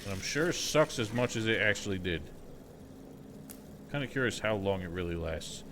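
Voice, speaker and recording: A man's voice: lowish voice, lively intonation, normal speed, a bit bright, a little hiss or hum. A man giving casual, wry and sceptical running commentary on a video game livestream.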